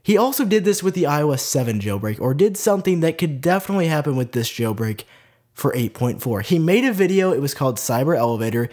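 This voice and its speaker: A man's voice: fairly high pitch, fast talker. A young man with a stuffy nose from a cold, hosting a casual tech news vlog in a chatty way with plenty of fillers. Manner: chatty, lively, lots of "like" fillers. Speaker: tech video host.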